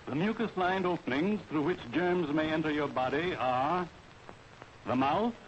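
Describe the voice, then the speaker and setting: A man with an American accent lecturing in a formal, authoritative way as an army medical officer in a 1940s training film. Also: on the high side. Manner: formal, authoritative, deliberate instruction. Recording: dull, noisy 1940s film soundtrack.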